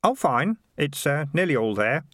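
Guy doing a worried young lad in a storybook, earnest, raised pitch, dry recording. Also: very animated, normal speed, fairly bright tone, no echo. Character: earnest, worried boy, friend of a cat.

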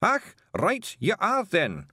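High, blustering Scottish creature. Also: male voice, huge pitch swings, pushed and bright, no echo, clean studio sound, heavily acted. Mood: halting, grandly polite. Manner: halting, blustering, scolding. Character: bossy, irascible bearded little Scottish creature posing as gentleman.

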